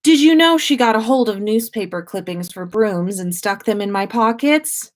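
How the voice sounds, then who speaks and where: A woman's voice: medium pitch, moderate pace, pitched above the performer's own voice, lightly acted. A woman voicing a playful, doting young father who teases and mock-scolds in a story. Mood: playful, teasing.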